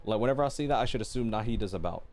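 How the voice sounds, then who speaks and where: A man's voice: on the low side, lively intonation, very fast. A man doing casual, playful game reaction commentary as a streamer, in an informal way. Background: game music.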